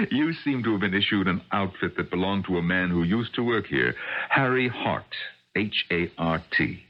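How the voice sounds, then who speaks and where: Deep-voiced, genial middle-aged scientist. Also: male voice, expressive, normal speed. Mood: probing, mocking. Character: genial, skeptical middle-aged male space scientist and father. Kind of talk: radio drama acting. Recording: dull vintage AM radio recording.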